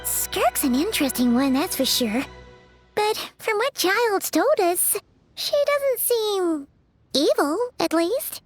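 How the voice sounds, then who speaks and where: A woman voicing an excitable, chatty little fairy companion in a game who refers to herself in the third person. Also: very high voice, takes it slowly, exaggerated voice. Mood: thoughtful. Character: tiny floating female fairy companion, chirpy. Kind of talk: game dialogue. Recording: game audio with music behind.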